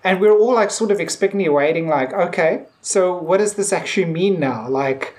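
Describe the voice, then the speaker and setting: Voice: medium pitch, moderate pace, darkish tone. A podcast co-host talking casually in a discussion, conversational and peppering sentences with "like". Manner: conversational, heavy use of "like".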